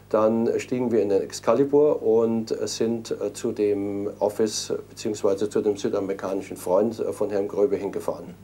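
A man's voice: lowish voice, warm tone. A man being interviewed, hesitant and full of fillers as he recounts events. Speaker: interviewee, prisoner. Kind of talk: recorded interview.

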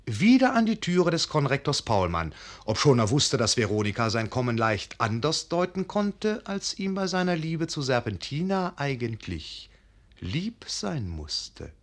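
An actor playing the wry, old-fashioned literary narrator of a German radio play, telling the story expressively. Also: male voice, medium pitch.

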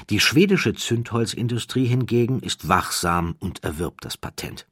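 Very deep, crisp male narrator. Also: a bit bright, no room sound, studio quality. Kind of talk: scripted documentary audiobook narration.

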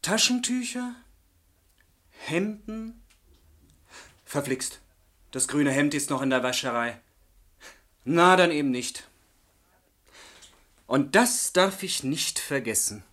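Young student in a german radio play, smooth talker with something to hide. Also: male voice, on the high side, lively intonation, takes it slowly, long pauses, bright, forceful tone, exaggerated voice. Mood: casual, hurried. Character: young male medical student, smooth-talking secret schemer and lover.

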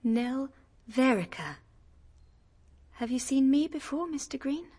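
A gentle lady in a radio drama, anxious and emotional. Her voice is high and breathy, and she speaks slowly and hesitantly with swooping intonation. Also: lively intonation, exaggerated voice.

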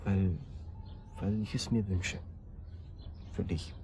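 An actor in a German radio drama playing a conciliatory, soft-hearted male friend with a hesitant way of talking. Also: sits low, steady tone, takes it slowly. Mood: gentle, wistful. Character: conciliatory, gently wistful male friend of siblings. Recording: clearly noisy ambient background.

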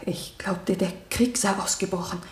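A woman acting a timid, loyal young daughter on the witness stand in a German radio play, hesitant and soft-spoken. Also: medium pitch, fairly even intonation, normal speed, close to an everyday voice. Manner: hesitant, soft-spoken, simple short answers. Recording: roomy 1970 radio drama studio.

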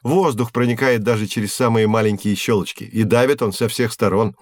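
An actor playing a patient professor who explains science to children didactically in an educational audio drama. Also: male voice, on the low side, steady tone, brisk, no room sound, natural voice. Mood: explanatory. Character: patient male professor teaching children with hands-on experiments.

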